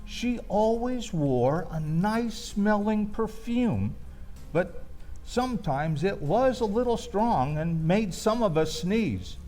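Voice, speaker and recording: A man with a high-pitched voice shares a personal, gently comic reminiscence. He speaks slowly and hesitantly, with lively swings in his intonation. The delivery is that of a wistful storyteller who leaves room between phrases.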